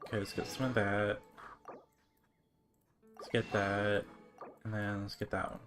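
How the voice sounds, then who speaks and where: A man's voice: fairly low pitch, little pitch movement, measured pace, fairly bright tone. A man streaming a game and chatting casually, thinking aloud in a laid-back manner. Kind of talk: casual livestream chat. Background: game music.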